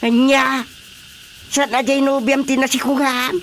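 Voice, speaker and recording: A man playing a bemused, patient village chairman in an Ilocano radio comedy drama, with drawn-out hums and probing questions. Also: very high-pitched, level tone, fairly bright tone, slight background noise, heavily acted. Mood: probing, amused.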